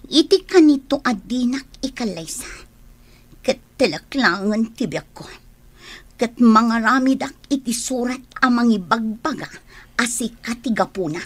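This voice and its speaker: A woman acting an ambitious, scheming, insistent young woman in Ilocano radio drama, with an Ilocano accent and a drawn-out emotional delivery. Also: on the high side, lively intonation, no echo. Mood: insistent, threatening.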